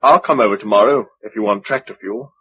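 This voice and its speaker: A man's voice: on the low side, quick pace, husky. An actor playing a willing, self-effacing blind man among survivors in a radio drama. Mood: concerned. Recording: dull lo-fi radio recording.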